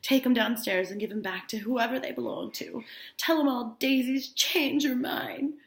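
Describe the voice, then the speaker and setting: A woman's voice: fairly high pitch, moderate pace, voice pushed up, natural voice. Woman playing a drunk young belle, echoey room. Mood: drunk, defiant. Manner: slurred drunken drama. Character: drunk, wilful young Southern belle bride.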